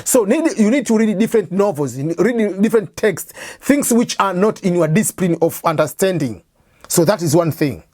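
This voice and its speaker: A woman's voice: medium pitch, lively intonation, normal speed, darkish tone, no echo, studio quality. East African woman teaching English prep. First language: Swahili. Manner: repetitive, explains by restating points with examples. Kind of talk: informal lecture.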